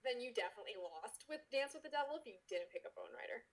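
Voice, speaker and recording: A woman co-hosting a podcast with excitable, teasing casual banter. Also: fairly high pitch, very fast. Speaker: podcast co-host.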